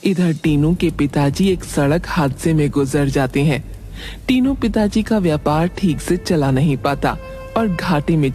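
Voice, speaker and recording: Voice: mid-range voice, darkish tone. A narrator reading a scripted cartoon story in a storytelling style. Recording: background music under voice.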